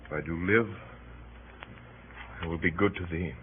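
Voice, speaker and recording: Old lo-fi radio recording, faint noise, male actor as grave Roman nobleman, low pitch, solemn, weary, gentle Shakespearean delivery. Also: moderate pace, close to an everyday voice. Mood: tender, weary. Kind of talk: 1930s radio Shakespeare acting.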